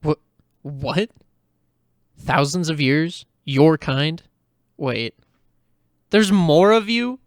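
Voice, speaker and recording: A woman's voice: low-pitched, expressive, very slow, pauses often, dry recording, clean studio sound, full character voice. A woman playing a frightened but defiant human girl in an audio story, blunt and exclamatory, swearing freely. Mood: bewildered.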